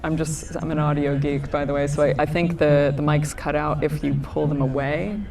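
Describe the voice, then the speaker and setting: A man's voice: fairly high pitch, level tone, normal speed, darkish tone. A man moderating a panel, casual and affirming as he asks the panelists about themselves. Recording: far from the mic.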